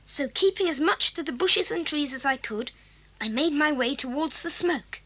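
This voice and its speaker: Woman playing a bright, high-voiced plucky schoolboy. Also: moderate pace. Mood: eager, earnest. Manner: eager, earnest storytelling. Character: plucky, practical adventurous schoolboy. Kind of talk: 1980s children's audio drama acting.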